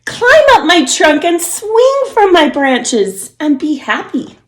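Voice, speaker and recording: A woman voicing a gentle, loving female tree from a children's storybook, with an eager, coaxing manner. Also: extremely high pitch, very animated, slow pace, echoey room, voice pushed up, full character voice. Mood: joyful, inviting.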